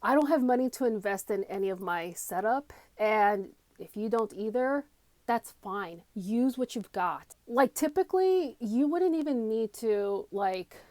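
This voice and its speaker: A woman's voice: on the high side, expressive, a little slow, darkish tone, no room sound. A woman giving a casual, unscripted tutorial in a chatty, emphatic, self-deprecating way, recorded on a headphone mic. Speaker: comedy coach, video creator. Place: small cramped room. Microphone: wired or phone headphones mic.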